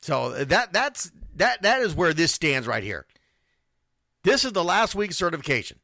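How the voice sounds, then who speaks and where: A man's voice: on the high side, sing-song, moderate pace, bright, forceful tone, no echo, clean studio sound. A man hosting an informal youth sports radio show, talking bluntly and emphatically and repeating phrases for stress. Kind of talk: informal sports talk monologue.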